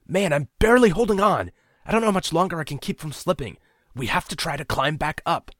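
A man's voice: mid-range voice, very animated, a little fast, breathy edge, no echo, studio quality, voice pushed up. A man reading a story aloud, voicing a frightened, angry young man who pleads, accuses and swears often. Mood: panicked, furious.

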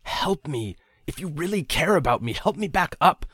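A man's voice: fairly high pitch, expressive, normal speed, fairly bright tone, breathy edge, no echo, studio quality, voice pushed up. A man reading a story aloud, voicing a frightened, angry young man who pleads, accuses and swears often. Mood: panicked, furious.

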